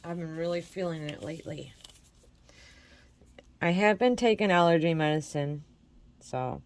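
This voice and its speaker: A woman's voice: deep voice, expressive, unhurried. A woman from Michigan hosting a crafting tutorial, chatting and encouraging viewers while she works, her voice congested from allergies. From Michigan, USA. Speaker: crafting channel host. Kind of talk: casual crafting tutorial chat.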